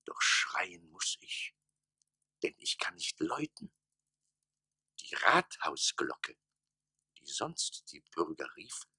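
Pompous forlorn town mayor, hoarse breathy rasp, sharp bright edge, theatrical declamation, dry close sound, radio drama. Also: male voice, low-pitched, no room sound, heavily acted. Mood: forlorn, weary. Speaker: actor. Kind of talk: German radio play monologue.

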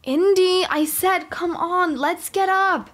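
A spoiled, easily exasperated rich schoolgirl and young mum played by a woman. She has a high voice and sighs and grumbles to herself as she goes through an improvised game roleplay. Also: takes it slowly, fairly bright tone, full character voice. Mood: coaxing, exasperated. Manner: sighing, complaining, talking to herself.

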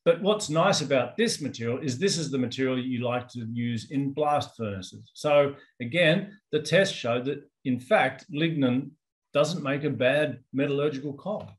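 Australian man giving a relaxed webinar talk. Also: mid-range voice, moderate pace. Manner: conversational, frequent ums and restarts. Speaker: company executive presenting research. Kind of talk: webinar presentation and Q&A. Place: indoors, office with branded backdrop. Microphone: video call.

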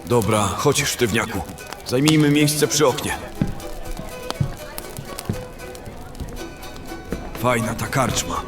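A man's voice: medium pitch, natural voice. A man playing a brash, greedy fantasy adventurer in an audio drama, talking in a coarse, boastful, grumbling way.

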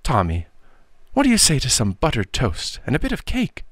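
A man voicing a gentle, credulous storybook aunt of thirty, fussing and coaxing. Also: mid-range voice, huge pitch swings, moderate pace, no room sound, studio quality, pitched above the performer's own voice. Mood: coaxing, fretful.

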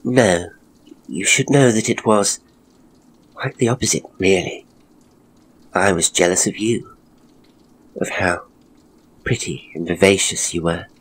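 A man voicing a frail, earnest young woman in a ghost story, hushed and halting. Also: deep voice, slight background noise, poor audio. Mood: earnest, confessional.

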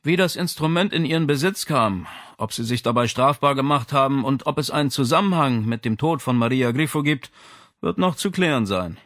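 A man voicing a brisk, stern policewoman in an audiobook, speaking in a firm, formal, insistent manner. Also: medium pitch, lively intonation, a bit bright, dry recording, clean studio sound, voice pushed up, close to an everyday voice. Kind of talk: audiobook character dialogue.

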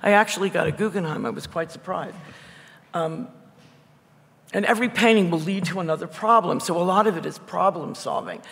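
An older woman painter with a loud voice, talking bluntly and wryly as the guest at a public conversation. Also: fairly low pitch, fairly quick, creaky. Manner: blunt, wry and digressive. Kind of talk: candid conversational talk at a public event. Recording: echoey hall.

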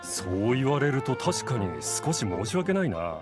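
A tavern bartender from an anime game, businesslike with a wry edge. His pitch moves in a lively way through gruff but courteous lines. Music is clearly heard behind him. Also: measured pace. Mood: wry, sheepish.

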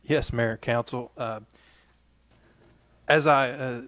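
A man speaking haltingly as a council member at a formal public meeting. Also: mid-range voice, fairly even intonation, fairly bright tone. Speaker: vice mayor, council member. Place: council chamber.

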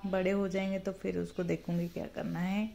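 Woman showing off her potted plants, mellow easygoing chat, phone mic outside. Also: lowish voice, flat delivery, creaky. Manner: chatty, unhurried narration while filming plants. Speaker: gardening vlogger. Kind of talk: casual home garden tour. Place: home garden and veranda outdoors.

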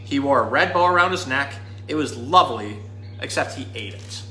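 Guy in his 30s doing casual zookeeper educational stuff, medium pitch, echoey room. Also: expressive, moderate pace, bright, forceful tone. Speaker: zookeeper, presenter. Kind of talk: casual educational talk and storybook reading. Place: small indoor room at a pet store.

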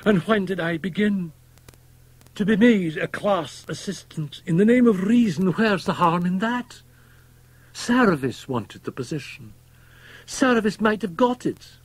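Man putting on a high, strained, frightened young student. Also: measured pace, few pauses, a little mellow, no room sound. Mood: anguished protest. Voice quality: hoarse, strained. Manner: anguished, protesting. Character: frightened, guilt-stricken young male medical student.

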